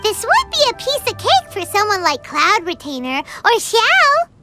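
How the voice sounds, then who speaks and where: A woman voicing a small, childlike, excitable floating companion creature in a video game, squeaky and exclamatory. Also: a little slow, faint music behind, exaggerated voice. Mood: musing. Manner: whiny, exclamatory, speaks of self in third person.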